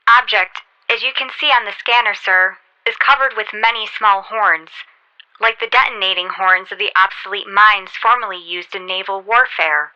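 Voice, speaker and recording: A woman's voice: medium pitch, normal speed, bright, forceful tone, clear, resonant tone, no room sound, muffled recording. A woman playing an eager, brave and deferential spaceship officer in a sci-fi radio drama, reporting in a clipped, respectful military way. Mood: intent, reporting. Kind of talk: golden age sci-fi radio drama acting.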